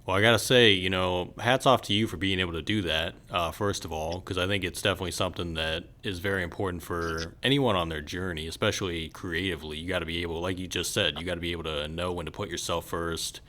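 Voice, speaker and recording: A man's voice: low voice, steady tone, quick pace, few pauses, sharp tone. A man hosting a casual interview, speaking in an encouraging, affirming way. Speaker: podcast host.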